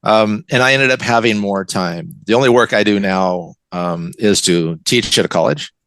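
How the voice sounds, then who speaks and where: Older American man with a creaky, low voice. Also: moderate pace, a bit bright, no echo. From West Coast of the United States. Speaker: guest, novelist and college teacher. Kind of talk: conversational interview answers.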